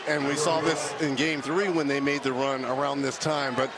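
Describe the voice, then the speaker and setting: A man's voice: mid-range voice, level tone, normal speed. A man giving live basketball analysis as a color commentator on a game broadcast. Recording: very noisy arena broadcast relay.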